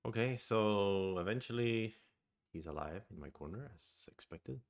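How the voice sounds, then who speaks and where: Gravelly low Swedish man musing. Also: lively intonation, normal speed. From Sweden. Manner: thoughtful, hesitant musing aloud. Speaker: go streamer. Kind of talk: live-streamed game commentary.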